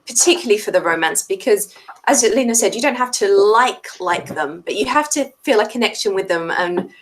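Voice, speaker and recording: A woman co-hosting a casual book discussion, explaining her points in a measured way. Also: mid-range voice, lively intonation, noisy background.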